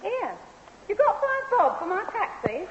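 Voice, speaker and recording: A woman's voice: very high voice, very animated, a little slow, heavily acted. A woman playing a streetwise, hard-nosed young gold-digger in a radio sitcom, blunt and cheeky, with a London accent. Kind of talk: British radio sitcom acting. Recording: muffled, reverberant old radio recording, faint noise.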